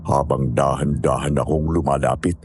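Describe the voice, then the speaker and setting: A man's voice: extremely low pitch, fairly even intonation, moderate pace, a little mellow, faint music behind. A man with a Filipino accent playing a hiker who narrates an eerie mountain tale in scripted first person. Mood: measured storytelling. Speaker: story narrator.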